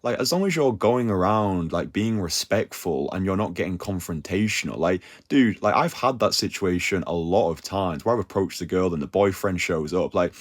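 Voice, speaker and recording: Low-voiced dating coach. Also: male voice, moderate pace, hardly any pauses. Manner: animated, slangy, full of "like" and "yeah?" tags. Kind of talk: casual advice talk.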